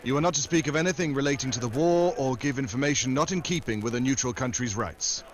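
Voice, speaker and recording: An actor playing a glib, flirtatious American secret agent in a 1940s-style radio drama, smooth and wisecracking. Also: male voice, medium pitch, fast, lightly acted. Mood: businesslike.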